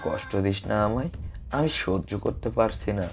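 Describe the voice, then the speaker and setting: Man acting a low-voiced, anguished old father. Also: expressive, a little slow. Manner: pleading, pained. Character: anguished older foster father, begging. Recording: music clearly under the voice.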